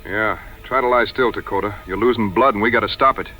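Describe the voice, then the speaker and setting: Man acting a bright-edged, low-voiced frontier hunter. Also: fast talker, faint music behind, rough recording. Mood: steady, concerned. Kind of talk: audio adventure drama acting.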